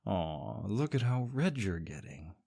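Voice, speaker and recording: A man's voice: deep voice, vocal fry, mellow, breathy edge. A young man performing a scripted audio roleplay as a sarcastic, teasing rival co-worker. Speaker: voice actor playing a smug rival co-worker.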